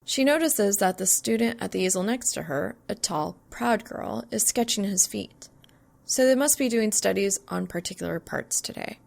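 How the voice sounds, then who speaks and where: Creaky-voiced woman narrating a story. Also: mid-range voice, animated, moderate pace, darkish tone. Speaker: fan fiction narrator. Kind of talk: expressive scripted story narration.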